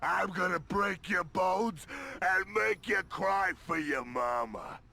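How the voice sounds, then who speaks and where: Gravelly, dim-witted brute of a villain. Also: male voice, sits high, slow, bright, forceful tone, slight background noise, exaggerated voice. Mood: menacing taunting. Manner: taunting, brutish, blustering. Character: hulking, dim-witted armored male villain thug.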